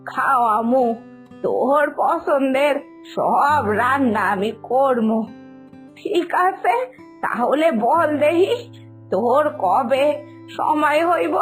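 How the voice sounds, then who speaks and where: A woman playing a doting, insistent old village grandmother in a cartoon, with an East Bengali accent and slow, coaxing, drawn-out speech. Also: a little high. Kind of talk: animated moral-story cartoon acting.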